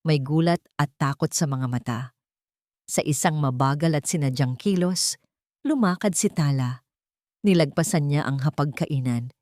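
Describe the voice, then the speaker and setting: A woman narrating a scripted dramatic story in measured, short phrases. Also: deep voice, darkish tone, no room sound, clean studio sound.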